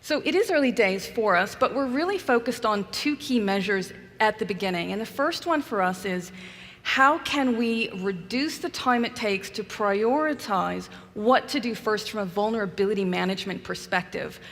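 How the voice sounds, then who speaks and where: A woman's voice: mid-range voice, lively intonation, moderate pace, fairly bright tone. New Zealand businesswoman in a big hall. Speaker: customer guest on keynote stage. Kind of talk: onstage interview. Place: large conference hall stage. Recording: long hall reverb.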